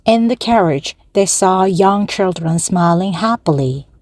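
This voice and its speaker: A woman reading a children's story aloud slowly and carefully for language learners to repeat. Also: fairly low pitch, expressive, darkish tone, no room sound, clean studio sound. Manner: slow, careful, evenly paced reading.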